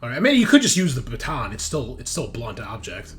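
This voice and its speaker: A man's voice: mid-range voice, huge pitch swings, fast talker, fairly bright tone. A man narrating a tabletop roleplaying game as game master, switching between dramatic, emphatic description and casual asides about the rules.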